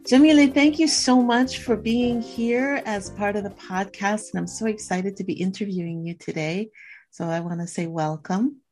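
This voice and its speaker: A woman hosting a podcast, reading a measured introduction and asking inviting questions. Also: medium pitch, over music. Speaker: podcast host. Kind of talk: scripted intro and interview questions.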